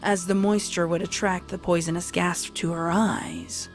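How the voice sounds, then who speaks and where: A woman with a fairly low voice narrates a dark piece of fan fiction. Her delivery is scripted, dramatic storytelling, with music playing behind her. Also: fairly even intonation, unhurried.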